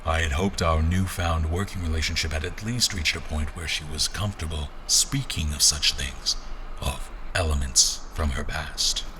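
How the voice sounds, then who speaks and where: An actor playing a patient, reflective researcher of ancient artifacts, narrating an audio drama in measured, literary phrasing. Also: male voice, extremely low pitch, fairly even intonation, pushed and bright, a little hiss or hum. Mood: reflective, guarded.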